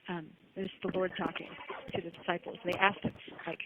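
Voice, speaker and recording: A woman's voice: lowish voice, little pitch movement, brisk, very noisy. A woman on a recorded jail phone call, talking earnestly about faith and reading scripture aloud in a testifying way. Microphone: telephone handset.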